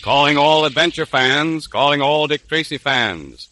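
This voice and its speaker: A man's voice: a little high, a little slow, bright, forceful tone, no echo. A man announcing and advertising to children in emphatic, salesmanlike 1930s radio style. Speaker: announcer and cereal pitchman. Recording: vintage 1930s radio recording.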